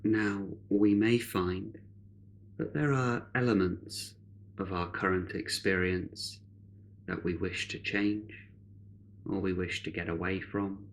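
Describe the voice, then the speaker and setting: Male meditation guide: fairly low voice, very slow and measured delivery, light ambient noise behind. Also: fairly even intonation. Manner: measured, unhurried, with long pauses.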